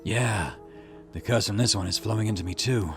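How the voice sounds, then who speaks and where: A man voicing a gruff, steady police detective boss in a visual novel. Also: lowish voice, moderate pace, over music, close to an everyday voice. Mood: grave, calm. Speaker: let's player voicing game dialogue. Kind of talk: visual novel dialogue read aloud.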